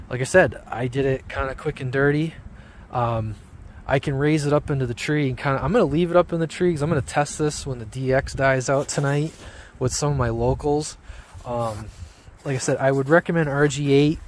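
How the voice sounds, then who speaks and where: A man giving a casual, off-the-cuff how-to explanation outdoors in an informal, rambling, halting way. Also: mid-range voice. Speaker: hobby radio vlogger. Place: outdoors among trees. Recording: outdoor handheld video with background noise.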